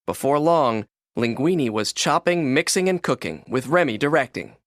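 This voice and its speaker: Animated male storybook narrator. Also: medium pitch, moderate pace, no echo, studio quality. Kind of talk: scripted children's read-along narration.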